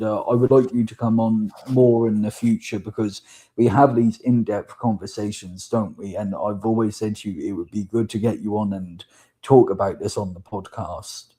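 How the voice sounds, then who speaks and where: A man with a deep voice and a sore throat, hosting a political livestream chat and thanking his guest. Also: warm tone. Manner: measured, thanks guest at length. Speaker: YouTube channel host.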